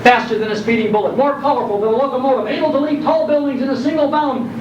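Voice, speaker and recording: A man imitating an excited, emphatic 1940s radio serial announcer with theatrical proclaiming. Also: very high voice, fast talker, muffled recording, voice pushed up. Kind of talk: old-time radio announcing. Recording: echoey, distant, noisy live hall recording.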